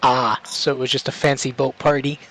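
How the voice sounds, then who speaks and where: A man's voice: medium pitch, little pitch movement, fairly quick, fairly bright tone, soft background music. A man commenting casually on the game he is playing, in a jokey, self-deprecating way.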